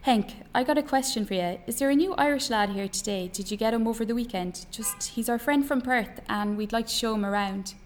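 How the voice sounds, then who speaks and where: A woman's voice: fairly high pitch, fairly quick, hardly any pauses, a little hiss or hum, lightly acted. A woman voices a young Irish lad in a novel, a farm labourer. He is curt and defensive, brushing people off in plain words. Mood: probing. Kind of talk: novel reading aloud.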